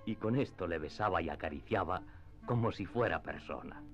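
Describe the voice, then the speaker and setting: A man narrating a classic novel in a radio drama, with measured literary storytelling. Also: sits low, lively intonation. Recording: slightly dull, band-limited audio. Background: faint background music.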